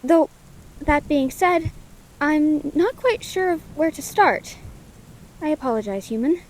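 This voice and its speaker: High, lilting female lightning spirit, acted by a woman. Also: animated, measured pace, a little hiss or hum, low-quality recording. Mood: earnest, hesitant. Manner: formal, quaint wording, earnest and thoughtful. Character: friendly, curious, caring female lightning elemental spirit. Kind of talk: scripted audio roleplay, gentle comforting monologue. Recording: rain behind the voice.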